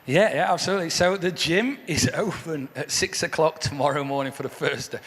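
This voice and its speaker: A man with a hoarse, croaky voice gives an earnest church testimony as a guest speaker, often repeating words as he restarts. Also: a little high, fairly quick, fairly bright tone. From England. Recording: echoey hall with faint ambience.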